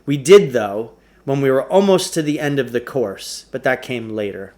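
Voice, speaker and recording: A man's voice: mid-range voice, expressive, moderate pace, darkish tone. A man reading a children's novel aloud as a storybook narrator.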